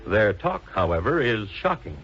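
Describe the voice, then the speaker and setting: A wry old-time radio storyteller, really varied pitch, dull old recording with music under him. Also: male voice, very animated, normal speed. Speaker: narrator. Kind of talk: scripted narration, 1950s radio drama.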